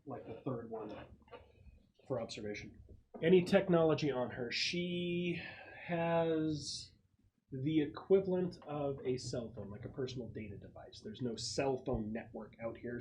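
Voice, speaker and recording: A man with a medium-pitched voice acts as game master at a tabletop session. He describes scenes casually, pausing and restarting as he thinks them through. The recording carries light room noise and clicks. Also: darkish tone, low-quality recording.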